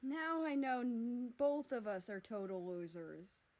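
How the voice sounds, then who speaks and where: A woman's voice: on the high side, big pitch swings, unhurried, a little mellow. A woman voicing a short, hot-tempered, impulsive, lovesick male character in a story, with dramatic delivery. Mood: miserable. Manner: dramatic, swings between outbursts and pleading.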